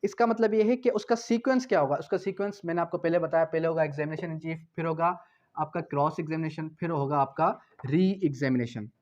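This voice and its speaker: A man's voice: fairly high pitch, brisk, darkish tone. A man giving an explanatory law lecture in a didactic way, repeating points, asking rhetorical questions and switching between two languages as he reads out and paraphrases. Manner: switches between Hindi and English. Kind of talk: explanatory lecture, reading out legal articles and paraphrasing them. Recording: dull, lo-fi sound.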